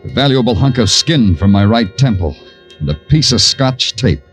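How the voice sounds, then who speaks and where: An American actor playing a weary, wry police detective who narrates his case in deadpan 1940s radio style. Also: mid-range voice, moderate pace, natural voice. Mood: brooding. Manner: deadpan, sardonic. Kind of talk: 1940s radio crime drama acting, hardboiled narration. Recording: old 1940s radio broadcast, music behind.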